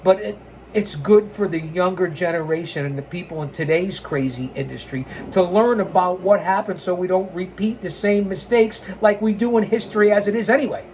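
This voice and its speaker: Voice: medium pitch, brisk, darkish tone. Blunt, opinionated wrestling storyteller with noisy background. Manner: opinionated, blunt, sarcastic, swearing. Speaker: host of a pro wrestling storytelling show. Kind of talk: reading a scripted biography with ranting, informal asides.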